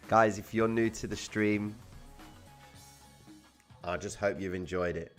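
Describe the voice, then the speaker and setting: A man's voice: on the low side, fairly even intonation, slow, soft background music. A young man from Cyprus, casually hosting a trading livestream and answering viewer comments in slangy, laid-back chat.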